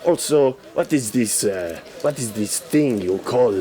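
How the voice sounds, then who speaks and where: A man playing a clueless, self-important European scene celebrity, hesitant and deadpan, in a comedy sketch. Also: medium pitch, measured pace, close to an everyday voice. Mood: clueless, pompous.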